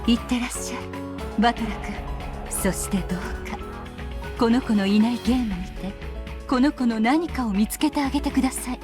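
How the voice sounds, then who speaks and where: A woman playing a gentle, courteous advisor in an anime-style visual novel, with a polite, earnest delivery. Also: fairly high pitch, rapid-fire, over music, lightly acted. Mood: pleading, tender.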